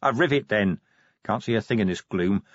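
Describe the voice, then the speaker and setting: Fantasy audiobook police commander, acted by a male reader: gruff, husky man, sardonic, short fuse, blunt delivery. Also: on the low side, moderate pace, no echo, lo-fi sound, natural voice. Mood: gruff, impatient.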